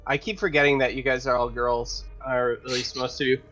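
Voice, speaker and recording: A man with a bright voice of ordinary pitch talks casually as a player in a tabletop game. Faint music runs behind him. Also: flat delivery, fairly quick, lo-fi sound.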